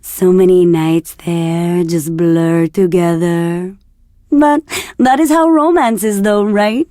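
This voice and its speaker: A woman's voice: lowish voice, slow, warm tone, no echo, studio quality. An actress playing a flirty, confident woman radio DJ in a video game, with a seductive, teasing delivery. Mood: sultry, teasing. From Argentina. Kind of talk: scripted game radio DJ patter.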